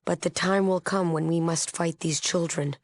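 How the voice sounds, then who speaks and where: A woman's voice: on the low side, steady tone, normal speed, dry recording. An actress playing an earnest, resolute woman who leads a peace movement in a wasteland video game, speaking formally and firmly. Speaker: game character. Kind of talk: scripted video game dialogue.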